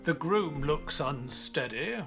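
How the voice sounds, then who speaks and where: A man's voice: on the high side, lively intonation, slow pace, creaky, sharp tone, soft background music, full character voice. An actor playing an earnest, curious doctor who narrates the story and questions his companion.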